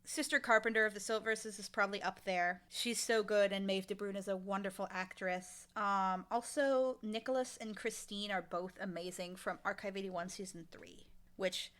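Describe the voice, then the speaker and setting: A woman with a bright, crisp-edged voice at an average pitch answers as an interview guest. She talks casually and effusively, leaning on her words with animated emphasis. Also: normal speed, hardly any pauses. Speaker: interview guest, audio drama creator and showrunner. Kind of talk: casual, enthusiastic interview talk.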